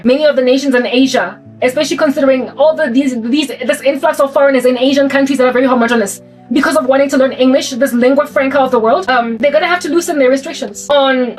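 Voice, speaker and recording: A woman's voice: high-pitched, far from the mic, rough recording. A South African woman with a slight American tinge to her accent, rambling through an unscripted monologue full of rhetorical questions and restarts. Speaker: vlogger.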